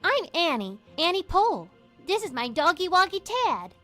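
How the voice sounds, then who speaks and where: A polite little girl in a cartoon adventure game. She sounds sweet and earnest, with a high, very lively childlike voice over soft music. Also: moderate pace, full character voice. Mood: sweet, cheerful. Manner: childlike, cutesy. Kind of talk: animated adventure game acting.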